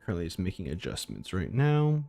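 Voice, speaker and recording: A man's voice: low voice, huge pitch swings, a little fast, gravelly, dark tone, soft background music. A man chatting casually and thinking aloud as a live streamer reacting to game trailers. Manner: rambling, informal, thinking aloud.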